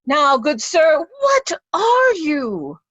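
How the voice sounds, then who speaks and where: A woman's voice: high-pitched, very animated, very slow, a bit bright, no room sound, lo-fi sound, heavily acted. A woman playing a grieving, blind old earl in readers' theatre Shakespeare, sorrowful and pleading. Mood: despairing, pleading.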